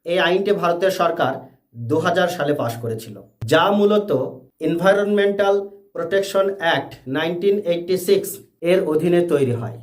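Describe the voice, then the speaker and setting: A man presenting a scripted educational explainer in deliberate, separate phrases. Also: sits high, animated. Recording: echoey room.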